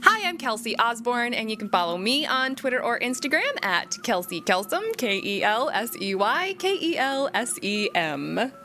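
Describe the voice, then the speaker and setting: High, bright self-deprecating woman. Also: sing-song, moderate pace, a little hiss or hum. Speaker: player. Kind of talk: podcast sign-off.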